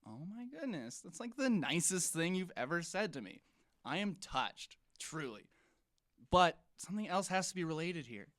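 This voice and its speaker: A woman's voice: fairly low pitch, sing-song, moderate pace, no echo, clean studio sound. A young woman playing an eager, dramatic teenage friend in an audio drama, earnest and insistent. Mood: playful, thoughtful.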